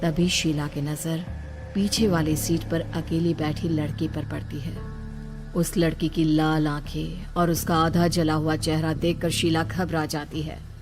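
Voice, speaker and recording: Low-voiced woman narrating horror. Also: little pitch movement, unhurried. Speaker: story narrator. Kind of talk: scripted horror story narration. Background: background music.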